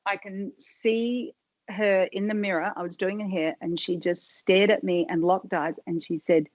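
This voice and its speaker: A woman's voice: mid-range voice, steady tone, normal speed, dry recording, highs cut off. A middle-aged woman telling life stories as a podcast guest, rambling and often cutting herself off with fillers. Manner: rambling, self-interrupting, many fillers. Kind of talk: casual interview storytelling.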